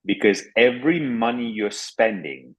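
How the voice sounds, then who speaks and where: A man's voice: medium pitch, lively intonation, slow, slightly rough. A man giving a motivational business talk on an online group call, speaking in short phrases.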